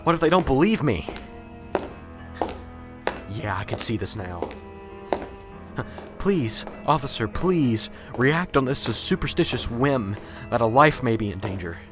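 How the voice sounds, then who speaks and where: A man's voice: medium pitch, very animated, unhurried, long pauses, over music. A man playing a nervous, unsure professor in an amateur radio drama, muttering anxiously to himself and stammering.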